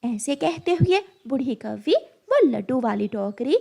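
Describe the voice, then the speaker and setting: A woman's voice: sits high, sing-song, measured pace, vocal fry, warm tone. A woman storyteller giving scripted narration for a children's cartoon.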